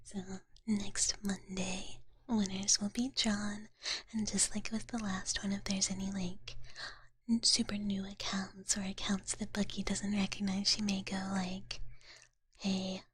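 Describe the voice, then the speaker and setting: A woman's voice: medium pitch, level tone, measured pace, a bit bright, breathy edge. A woman whispering breathily and playfully close to the microphone in a casual ASMR livestream chat. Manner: playful, talks about herself in third person.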